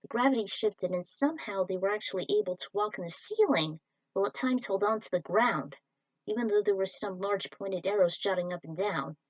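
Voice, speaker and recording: A woman's voice: mid-range voice, lively intonation, a little fast, no room sound. A woman reading an adventure story aloud as an amateur narrator, on a lo-fi home recording. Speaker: podfic narrator. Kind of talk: amateur storytelling read from a script.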